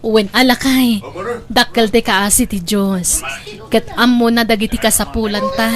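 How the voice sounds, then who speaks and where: An actress playing a warm-hearted single mother in an Ilocano radio drama, expressive and affectionate, with an Ilocano accent. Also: on the high side, measured pace, a crowd in the background, natural voice. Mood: cheerful.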